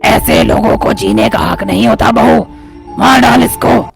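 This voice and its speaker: A man's voice: extremely high pitch, huge pitch swings, measured pace, vocal fry, heavily acted. A man voicing a vengeful, commanding ghost elder in a cartoon horror story. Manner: menacing, commanding. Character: vengeful ghost elder ordering a daughter-in-law to kill. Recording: music behind the voice.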